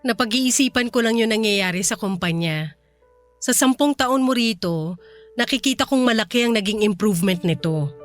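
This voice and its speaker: A woman's voice: a little high, expressive, moderate pace, faint music behind, lightly acted. A woman acting a kind, reassuring company boss in a Filipino radio drama, earnest and composed, with a Filipino accent. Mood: earnest, appreciative.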